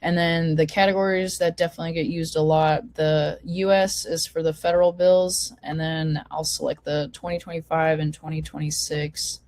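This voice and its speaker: A woman with a low voice, hoarse from a lingering cold, talks attendees through a website over a video call. Her delivery is informal and full of fillers and restarts. The sound is dry and close, with no room echo. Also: flat delivery, moderate pace, few pauses, darkish tone. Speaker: forum host. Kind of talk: casual online meeting walkthrough.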